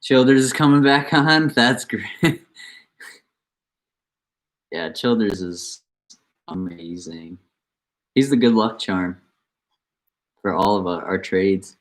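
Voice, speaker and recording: A man's voice: fairly low pitch, animated, takes it slowly, long pauses. A young man with a nasal voice, chatting casually and upbeat on a trading live stream. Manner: laid-back, upbeat, chatting about trades between songs.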